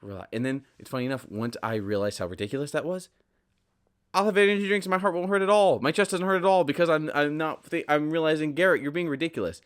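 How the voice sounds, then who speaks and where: A man's voice: a little high, fast, clean tone. A man in his twenties hosting a solo podcast, talking casually in a candid, rambling, self-deprecating way. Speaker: podcast host.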